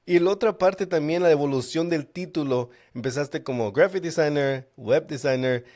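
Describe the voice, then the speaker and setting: A man's voice: fairly high pitch, moderate pace, darkish tone. A man hosting a casual interview, asking questions a little hesitantly as he searches for words. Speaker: podcast host, interviewer.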